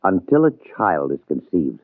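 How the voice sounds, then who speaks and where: An actor playing a dignified, diplomatic President in a 1950s radio drama, formal, deliberate and stately. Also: male voice, low voice, big pitch swings, dry recording. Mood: measured. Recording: muffled, narrow 1950s radio broadcast.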